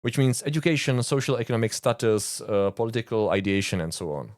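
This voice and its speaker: Czech-accented man, low dry voice. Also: fast talker, darkish tone, no echo. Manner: earnest, informal, with frequent uh fillers and dry asides. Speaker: YouTube host, conspiracy researcher. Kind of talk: explainer monologue to camera.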